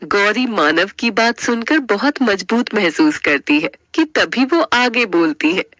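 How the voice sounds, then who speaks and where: Bright-voiced woman narrating a story. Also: medium pitch, expressive, unhurried. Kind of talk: dramatic scripted story narration.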